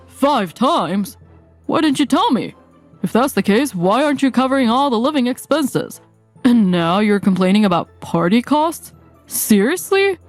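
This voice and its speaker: A woman voicing a bossy, arrogant husband and office boss in a manga dub, talking down to people and quick to shout. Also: a little high, very animated, soft background music. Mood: indignant. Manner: domineering, scornful, quick to shout.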